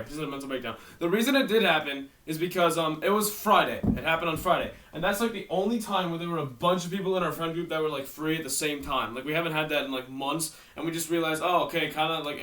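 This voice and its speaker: A man's voice: on the high side, far from the mic, background noise. A teenage boy from Maryland with a worn-out, tired voice, rambling through a casual storytime vlog with self-mocking asides. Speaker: YouTuber.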